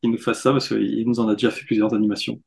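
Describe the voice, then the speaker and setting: A man hosting a paranormal news show, chatting in a relaxed way and pointing out details in pictures. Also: lowish voice, fast, vocal fry. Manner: relaxed, pointing things out on screen. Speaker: host. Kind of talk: casual discussion.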